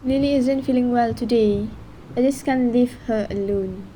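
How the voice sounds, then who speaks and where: Woman acting a high-voiced, hurt young wife. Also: moderate pace, background noise, lightly acted. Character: weary, hurt young mother and embittered wife. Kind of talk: amateur radio drama acting.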